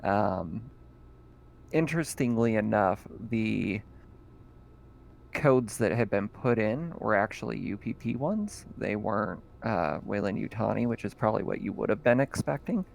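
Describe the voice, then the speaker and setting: Gravelly-voiced man running a tabletop game. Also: on the low side, a little slow, slight background noise. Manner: relaxed, chatty narration with laughs. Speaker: game master.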